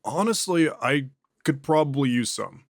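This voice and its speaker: A man's voice: mid-range voice, expressive, unhurried, dry recording, studio quality. Foul-mouthed prisoner, voiced by a man, agitated and profane, in a horror audio drama. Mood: defensive. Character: foul-mouthed, defensive male prisoner under interrogation.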